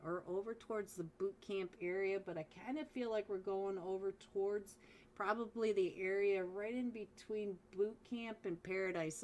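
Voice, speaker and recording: A woman casting a live mobile esports match, excited and chatty as she talks straight to her viewers. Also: medium pitch, slight background noise. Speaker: esports caster. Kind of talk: live game commentary.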